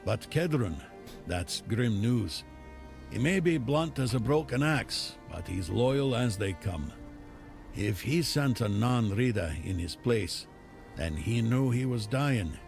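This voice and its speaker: Gruff fantasy game chieftain type, deep and really croaky, grave delivery, music behind. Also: male voice, expressive, slow, darkish tone, exaggerated voice. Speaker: video game character. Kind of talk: dramatic fantasy game dialogue. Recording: game dialogue over clear music.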